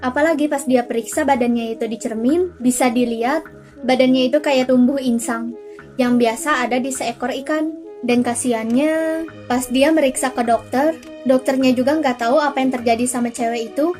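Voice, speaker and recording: Young female narrator, high-pitched, chatty recaps of spooky cartoons, light music bed. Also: a little mellow. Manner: chatty, addresses viewers directly, drawn-out emphasis on some words. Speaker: storyteller and channel host. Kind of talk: casual scripted recap narration of spooky animated stories. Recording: voice-over with faint music underneath. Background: faint background music.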